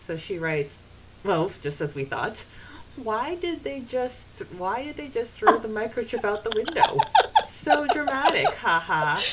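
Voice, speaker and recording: A woman co-hosts a podcast with very lively intonation and a leisurely pace. She is giggly and informal, switching between chat and expressive reading, with a faint hiss on the recording. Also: a little high, measured pace. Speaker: podcast co-host.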